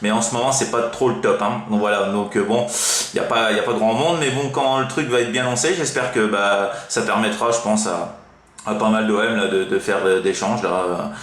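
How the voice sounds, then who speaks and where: A man's voice: fairly low pitch, moderate pace, a bit bright. A man chatting casually over CB radio as an amateur operator, rambling and hesitant, often repeating himself. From France. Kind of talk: casual radio contact chat. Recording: roomy, echoing room sound.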